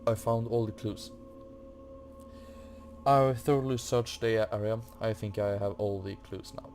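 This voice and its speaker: Low, flat-toned man doing game commentary. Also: a little slow. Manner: hesitant, muttering to himself. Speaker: gaming video host. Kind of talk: casual game walkthrough commentary, reading on-screen text. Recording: faint noise from a fan. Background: computer or room fan.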